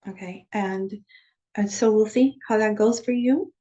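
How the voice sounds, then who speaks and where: A woman teaching shamanic practice, leading a guided journey meditation over a video call, calm and slow when guiding and chatty when explaining. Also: mid-range voice, dull audio. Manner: chatty and candid when explaining, with soft laughs.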